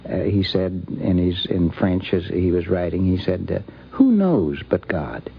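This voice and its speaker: An older American man telling anecdotes off the cuff in a halting, deliberate way. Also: deep voice, expressive, vocal fry, breathy edge. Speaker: interviewee recalling a friend. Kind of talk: unscripted anecdotal reminiscence. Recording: dull vintage radio recording.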